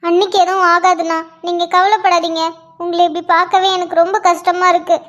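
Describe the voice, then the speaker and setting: A man voicing a young woman who gently reassures her older brother in a high, soft voice. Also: little pitch movement, a little fast. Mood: pleading.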